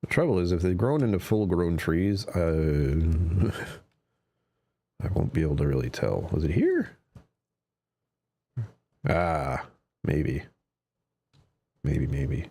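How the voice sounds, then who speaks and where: A man's voice: rumbling low, lively intonation, takes it slowly, vocal fry, pauses often, dark tone, breathy edge. A man chatting casually while playing a survival game on a live stream, his voice a little strained, musing to himself and drifting into whispers. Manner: chatty, self-talking, trails off into whispers. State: slightly strained voice. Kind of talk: casual gameplay commentary.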